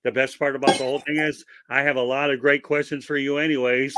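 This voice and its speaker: A man's voice: medium pitch, little pitch movement, fast, heavy background noise. A man hosting a casual interview, talking at length in a chatty, rambling, promotional way over a video call. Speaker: interview host.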